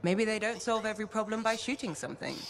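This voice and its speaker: An actress playing a calm, thoughtful woman in a video game, earnest and gently teasing. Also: mid-range voice, fast talker, background noise, close to an everyday voice.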